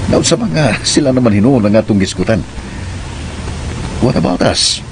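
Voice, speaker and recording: A man playing a serious, easily irritated, commanding young man in a radio drama, with a stern, earnest delivery and a husky voice. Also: medium pitch, animated, moderate pace, noisy background. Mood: concerned.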